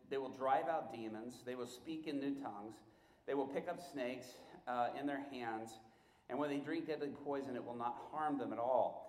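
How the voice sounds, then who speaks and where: A middle-aged man giving an informal teaching talk as a church workshop teacher, conversational and halting, often restarting and repeating himself. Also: mid-range voice, a little mellow. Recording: echoey room.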